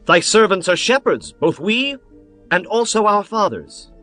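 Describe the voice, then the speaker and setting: A man's voice: high-pitched, animated, measured pace, pushed and bright, exaggerated voice. A man voicing humble shepherds and starving people, pleading deferentially. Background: faint music.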